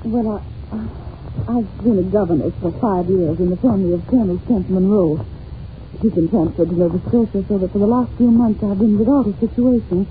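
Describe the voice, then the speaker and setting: A woman's voice: mid-range voice, a little fast, natural voice. Proper young English governess female actor, earnest and anxious, vintage radio drama, muffled narrow sound with some noise. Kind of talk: old-time radio drama acting. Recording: muffled, noisy old-time radio recording.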